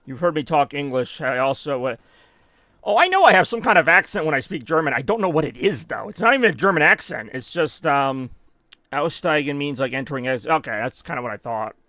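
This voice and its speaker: Bright-voiced man streaming a game. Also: on the high side, animated, a little fast, no room sound. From United States. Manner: rambling, self-mocking, drops in German phrases. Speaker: gaming streamer. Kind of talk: live gameplay commentary.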